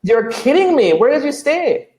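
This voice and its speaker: A woman's voice: sits high, big pitch swings, moderate pace, a crowd in the background. A woman hosting a live online tech show, chatty and upbeat, with lots of ums. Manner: chatty, upbeat, many fillers. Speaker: host.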